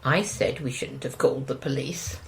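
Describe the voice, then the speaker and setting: An actress playing an anxious, gossipy middle-aged wife in an audio drama, with a fretful manner. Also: female voice, very deep voice, steady tone, normal speed, gravelly, rough recording. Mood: wry, conciliatory. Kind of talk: naturalistic audio drama dialogue.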